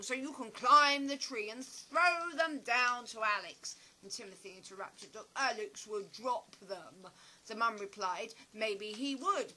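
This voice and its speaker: A woman playing a bright, theatrical stage actress. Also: a little high, full character voice, poor audio. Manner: dramatic, expressive storytelling. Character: theatrical stage actress, chatty, opinionated, nostalgic recluse. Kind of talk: audio play dialogue.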